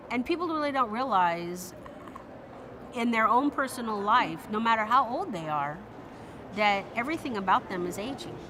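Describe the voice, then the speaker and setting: A woman of about fifty casually sharing her view in an interview. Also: fairly low pitch. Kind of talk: casual spoken opinion. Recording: clearly noisy background.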